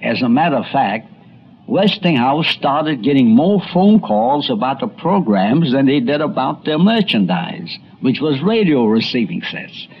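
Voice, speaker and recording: A man's voice: mid-range voice, sing-song, a little slow, slightly rough. A man delivering scripted narration for a radio documentary. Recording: dull vintage broadcast audio.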